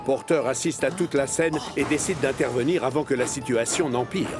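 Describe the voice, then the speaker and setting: A man narrates a children's cartoon story in an expressive storytelling style. His voice is mid-pitched, with music playing clearly behind it. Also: brisk.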